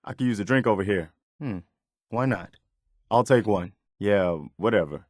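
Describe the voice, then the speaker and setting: A male actor voices a principled young soldier man, a loyal video game companion. His voice sits fairly low and sounds dry and close. He talks in a sincere, plainspoken, slightly weary way, like everyday conversation. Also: normal speed, dry recording, close to an everyday voice. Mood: easygoing.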